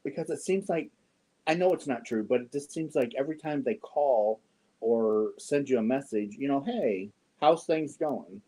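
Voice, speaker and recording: A man in his fifties chatting casually with viewers on a yarn livestream, joking and often clearing his throat. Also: mid-range voice, animated, normal speed, dark tone, poor audio. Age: 50s. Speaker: yarn channel host. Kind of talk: casual livestream chat answering viewer comments.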